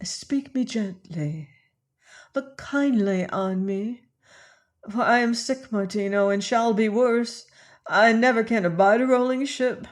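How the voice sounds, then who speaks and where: A man's voice: very high-pitched, moderate pace, voice pushed up. A man voicing a fierce, commanding young woman aboard a pirate ship, imperious and weary by turns. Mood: languid. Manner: imperious, scornful, then weary and languid.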